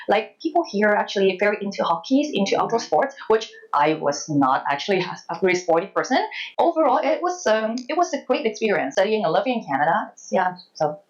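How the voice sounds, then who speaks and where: A woman in her thirties from Hong Kong, with a Hong Kong accent, answering interview questions reflectively in a halting, hesitant way with repeated words. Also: medium pitch, muffled recording. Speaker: interview guest, former journalist.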